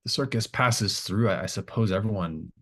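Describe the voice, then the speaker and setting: A man playing a halting old gardener woman, low-voiced. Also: fairly bright tone. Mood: stunned, musing. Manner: halting, wondering, rambling. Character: stunned elderly small-town gardener woman, rambling, begrudgingly reflective.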